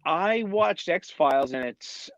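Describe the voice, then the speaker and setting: A man with a fairly high voice, joining as a guest over a video call, feeling his way through each thought with restarts and fillers. The sound is dry and a little dull, the lo-fi feel of call audio. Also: expressive, no echo. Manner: halting, many restarts and fillers. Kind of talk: casual chat on a livestream.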